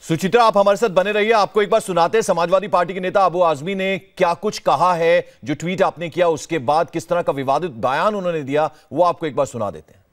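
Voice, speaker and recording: A man's voice: high voice, fairly quick. A man presenting and interviewing as a television news anchor, speaking insistently and repeating himself. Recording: music behind the voice.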